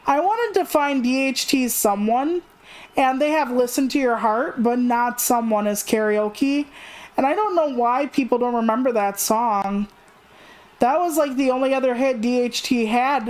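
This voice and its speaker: A woman's voice: on the high side, unhurried, a little mellow, poor audio. A woman livestreamer chatting casually in a candid, rambling, self-deprecating way, swearing freely. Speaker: video game livestreamer.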